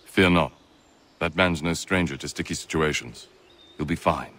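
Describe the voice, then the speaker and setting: A man's voice: extremely low pitch, normal speed, a bit bright. A man playing a confident, reassuring patriot ally in a video game cutscene, assured and easygoing.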